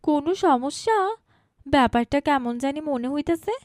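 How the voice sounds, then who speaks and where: A woman as a high-pitched polite village cartoon woman. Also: moderate pace, a little mellow, no room sound, clean studio sound, natural voice. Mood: questioning. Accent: Bangladeshi. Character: village mother and her polite, earnest grown daughter. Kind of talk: cartoon moral story dialogue.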